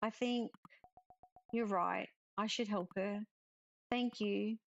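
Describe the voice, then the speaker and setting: A woman's voice: mid-range voice, fairly even intonation, slow, creaky, dull audio. A woman voicing an anxious, earnest little girl from a visual novel, grieving her grandmother. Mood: accepting. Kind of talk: visual novel character read aloud.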